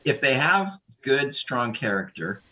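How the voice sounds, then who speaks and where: A sports chaplain and coach, this man has a bright, forceful tone with a raspy edge. He talks casually but reflects on character and values. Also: mid-range voice, big pitch swings, unhurried, low-quality recording. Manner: reflective, moralizing commentary. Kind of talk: casual panel discussion.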